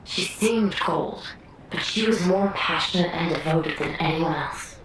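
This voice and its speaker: A woman's voice: fairly low pitch, unhurried, background noise, close to an everyday voice. Echoey, cool woman squad fighter, voiced by an actress. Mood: fond, wistful. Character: cool, seasoned female squad fighter with gang past. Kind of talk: video game voice acting.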